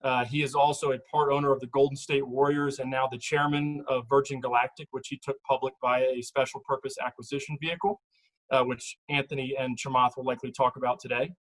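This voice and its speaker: A man's voice: mid-range voice, level tone, fast, poor audio. A man moderating an event, reading formal introductions of the speakers with filler uhs.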